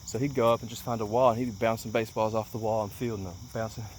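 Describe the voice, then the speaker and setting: Late-20s guy, low voice, earnest pep talk, lots of ums, bugs chirping faintly. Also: flat delivery, very fast, a little hiss or hum. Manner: earnest, frequent ums, repeats for emphasis. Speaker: motivational vlogger. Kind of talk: casual motivational talk.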